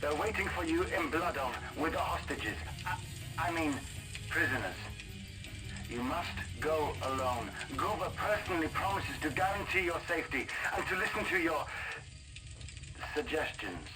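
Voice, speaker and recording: A man's voice: on the high side, lively intonation, normal speed, over music, close to an everyday voice, poor audio. A man playing an earnest resistance informant who relays messages in a video game cutscene, informative and slightly hesitant. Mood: earnest, grave.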